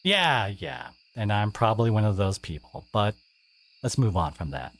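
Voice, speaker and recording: A man acts out a teenage bully with a low voice that swings widely in pitch. He is sardonic on the surface but wounded and caring underneath. The delivery is halting and confiding, like an intimate audio drama monologue. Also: lively intonation, gravelly, fairly bright tone, full character voice. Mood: earnest, reassuring.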